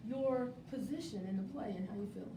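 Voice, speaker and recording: Distant roomy sound, noisy background, woman interview host, fairly high voice, encouraging, affirming. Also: fairly quick. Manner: encouraging, affirming with frequent short responses. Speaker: host interviewing a stage play cast. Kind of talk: live group interview.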